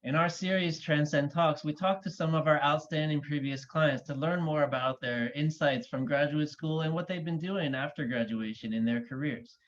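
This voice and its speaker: A man with a fairly high-pitched voice hosts a conversational interview. He is recorded over a video call, with dull, lo-fi sound. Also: moderate pace, hardly any pauses, a little hiss or hum. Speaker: interview host, admissions consultant. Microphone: video call microphone.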